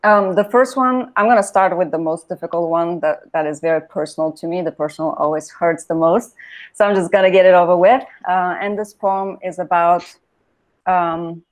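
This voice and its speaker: A woman in her thirties from Bulgaria, reading her own poems in a soft, measured, lyrical way, on a video call from a room at home. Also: lowish voice. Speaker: poet reading her work. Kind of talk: poetry reading. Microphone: video call, laptop camera.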